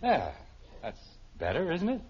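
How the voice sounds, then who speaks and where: A man's voice: fairly high pitch, huge pitch swings, a little slow, breathy edge, exaggerated voice. A man playing a flustered middle-aged American father in a radio sitcom, hesitant and stammering. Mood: flustered, eager. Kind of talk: 1950s radio sitcom acting. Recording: muffled old radio recording, faint noise.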